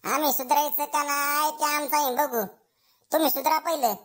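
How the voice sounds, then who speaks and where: A woman's voice: sits high, steady tone, fairly quick, fairly bright tone. A woman voicing an indignant, defensive wife who protects her younger brother in a comedy cartoon.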